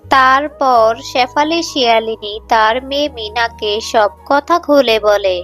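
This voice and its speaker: A woman's voice: a little high, takes it slowly, a bit bright, soft background music. A woman reading scripted narration for a cartoon folk tale.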